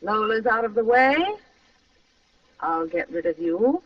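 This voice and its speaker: A woman's voice: medium pitch, lively intonation, unhurried, distant mic, close to an everyday voice. A woman acting a cold, mocking femme fatale in an old radio crime drama. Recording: muffled old radio recording, off-mic.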